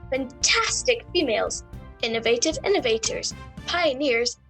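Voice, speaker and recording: Actress as eager female comedy news correspondent, high voice, lively swooping intonation, music bed. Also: moderate pace. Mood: eager, dramatic. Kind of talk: scripted comedy news-style announcing.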